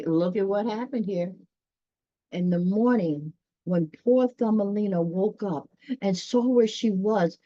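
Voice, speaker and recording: A woman's voice: mid-range voice, dark tone, no room sound, muffled recording. A woman reading a children's story aloud expressively, with folksy, chatty asides to her listeners.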